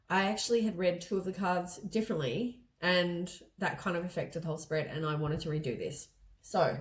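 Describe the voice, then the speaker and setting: A woman's voice: on the low side, a little hiss or hum. A woman with a stuffy, congested voice from a head cold, giving a casual tarot card reading. She rambles and often corrects herself mid-sentence. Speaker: tarot reader.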